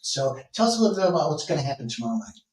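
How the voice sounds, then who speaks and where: A man's voice: on the high side, sing-song, rapid-fire. A man hosting a casual interview, prompting his guests with friendly questions. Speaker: interview host. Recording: roomy, from a distance.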